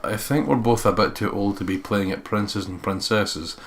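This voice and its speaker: A man's voice: on the low side, moderate pace, slight background noise. A man hosting a let's play, reading visual novel narration aloud and breaking off for joking asides.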